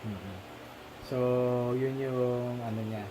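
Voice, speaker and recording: A man with a Filipino accent chatting casually about a film, switching between Tagalog and English with many pauses and fillers. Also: mid-range voice, steady tone, a loud crowd. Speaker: podcast co-host. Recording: noisy home recording.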